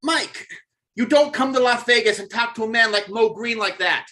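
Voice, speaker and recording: A man's voice: extremely high pitch, normal speed, sharp tone. A man voicing a nervous, pleading weak brother of a mob boss in a script read, stammering and appeasing. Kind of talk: live table read of a crime film script.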